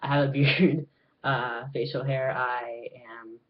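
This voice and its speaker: A young man talking earnestly to camera in a personal vlog, pausing often with filler ums. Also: mid-range voice, flat delivery, muffled recording, slight background noise. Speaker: vlogger. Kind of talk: casual personal talk to camera. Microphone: webcam.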